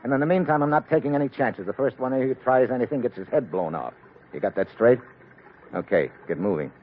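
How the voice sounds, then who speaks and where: An actor playing a tough, wary American secret agent in an old-time radio adventure, terse and hard-boiled. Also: male voice, medium pitch, expressive, quick pace, noisy background, natural voice. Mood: tough, commanding. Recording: muffled vintage radio recording with music.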